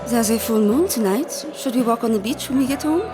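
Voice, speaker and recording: A woman's voice: fairly high pitch, brisk, over music, natural voice. A woman playing an affectionate, emotional girlfriend in a radio drama. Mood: dreamy, affectionate.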